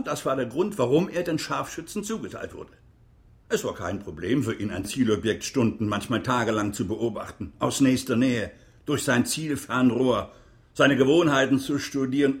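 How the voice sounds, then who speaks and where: Stern, gravelly male prosecutor. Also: medium pitch. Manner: formal, emphatic, cutting. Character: stern, accusing prosecutor in a military trial. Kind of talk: radio drama acting, courtroom speech.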